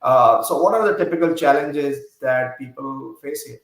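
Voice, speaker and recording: A man hosting a podcast interview, asking lively, quick questions with fillers. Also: medium pitch, gravelly. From Pakistan. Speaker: podcast host. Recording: echoey room with faint music.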